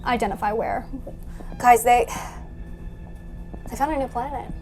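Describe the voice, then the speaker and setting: A woman's voice: a little high, measured pace, darkish tone. A woman playing a tabletop game, eagerly thinking aloud and repeating words in casual chat. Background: faint music.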